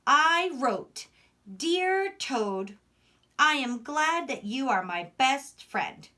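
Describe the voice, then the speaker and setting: A woman reading the part of a kind, eager male frog in a children's storybook, with expressive, emphatic delivery. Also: sits high, drawn-out words, exaggerated voice. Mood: eager, warm. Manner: expressive, emphatic read-aloud.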